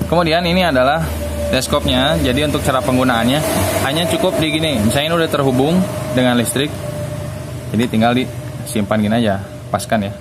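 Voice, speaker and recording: A man reviews a product in an informal, explanatory way. His voice sits at a middling pitch. The recording carries clear background noise. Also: brisk. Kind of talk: casual spoken product demonstration.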